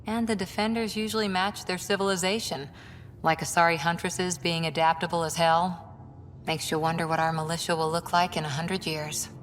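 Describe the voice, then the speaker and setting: An actress playing a young, steady, matter-of-fact ex-commando woman in a video game. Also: normal speed, lightly acted. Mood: wry, reflective. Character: young female ex-commando soldier, dry and steady. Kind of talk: video game character dialogue. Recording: long reverb, faint rumbling background noise.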